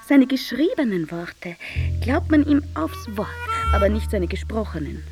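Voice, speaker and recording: Slow, coquettish actress as Viennese sweetheart. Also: female voice, a little high, sing-song, music in the background. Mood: wistful, confiding. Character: capricious, coquettish Viennese sweetheart, pleading yet teasing. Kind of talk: dramatic literary recitation over music.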